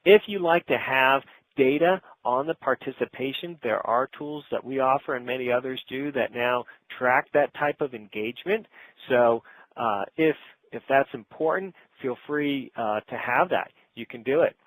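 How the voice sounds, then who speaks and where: A man's voice: mid-range voice, moderate pace, fairly bright tone, no echo, low-quality recording. A middle-aged man presenting a business webinar in an informal, conversational and instructive way, speaking directly to his audience.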